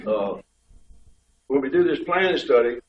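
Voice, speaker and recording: A man's voice: medium pitch, expressive, unhurried, recorded from a distance. A man with a head cold lays out his points in a plain, step-by-step way at a community board meeting. He sounds set back from the microphone in an echoey meeting room. The pickup is dull, with a faint hum underneath. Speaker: state highway official. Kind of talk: explaining at a public board meeting.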